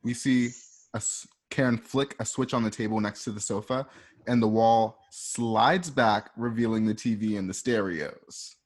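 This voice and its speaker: A man's voice: fairly low pitch, fairly even intonation, normal speed. A man reading script stage directions in a casual, plain-spoken way at an informal table read.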